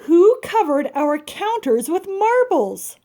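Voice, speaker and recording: A woman voicing a flustered, exasperated father in a children's storybook, with emphatic, drawn-out exclamations. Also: very high-pitched, sing-song, warm tone, voice pushed up, full character voice. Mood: exasperated, shocked.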